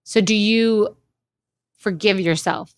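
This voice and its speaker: A woman's voice: medium pitch, slow. A woman hosting a podcast interview, firing off eager questions that stumble and restart. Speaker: podcast host. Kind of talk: interviewing a guest.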